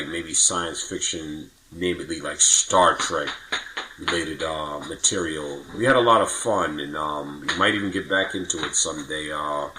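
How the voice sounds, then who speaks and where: A middle-aged man chatting casually as a podcast guest, with halting, slightly formal phrasing. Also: deep voice, sharp tone, low-quality recording. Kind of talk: casual reminiscing chat.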